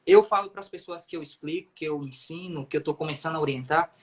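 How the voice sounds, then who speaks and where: A man's voice: on the high side, quick pace. A man with a Brazilian accent, a native Portuguese speaker, explaining things emphatically in a casual livestream chat. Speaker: self-taught English coach on a livestream.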